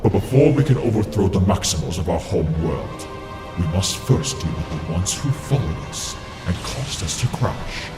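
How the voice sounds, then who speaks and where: A man's voice: low voice, expressive, normal speed. A man playing a villainous, scheming robot warlord in a comic dub, with a theatrical, gloating delivery. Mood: grandiose menace. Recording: echoey, loud music underneath. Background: loud music.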